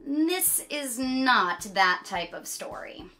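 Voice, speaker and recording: A woman's voice: high voice, sing-song, slow pace, pushed and bright, soft background music, heavily acted. A woman voicing a dramatic, increasingly exasperated storybook narrator in a children's read-aloud. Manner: dramatic, emphatic, fed up. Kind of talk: animated children's picture-book read-aloud.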